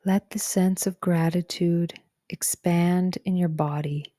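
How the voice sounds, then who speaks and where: A woman narrating a guided meditation in a gentle, calm and soothing way, with soft breathy phrase endings. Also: lowish voice, slow pace, dry recording, studio quality. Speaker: psychologist guiding a meditation.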